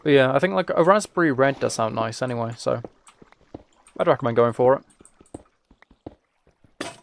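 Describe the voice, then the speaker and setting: A man's voice: medium pitch, animated, moderate pace, creaky, a little hiss or hum. A British man streaming a game and chatting casually with viewers, musing aloud in an informal, rambling way. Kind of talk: casual chat while playing a game.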